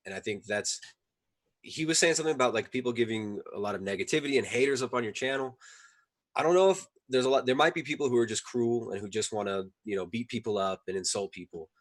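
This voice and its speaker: Fast-talking, emphatic man, close dry sound. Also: mid-range voice, no echo. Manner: emphatic, earnest, opinionated. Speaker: panelist in an online diet debate. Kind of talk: casual live debate.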